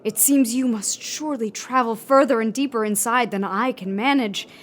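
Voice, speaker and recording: An actress playing an odd, intense sculptor in a radio drama, speaking in an earnest, insistent, eager way. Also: female voice, a little high, normal speed, slight background noise, close to an everyday voice. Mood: eager, fervent. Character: odd, intense female sculptor hungry for occult knowledge.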